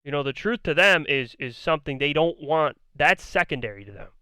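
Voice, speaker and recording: Blunt ranting man, slightly high voice. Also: animated, brisk, pushed and bright, smooth, no echo, studio quality. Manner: argumentative, blunt, profane, repeats himself. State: hoarse, losing his voice. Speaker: commentator. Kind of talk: ranting commentary on a debate.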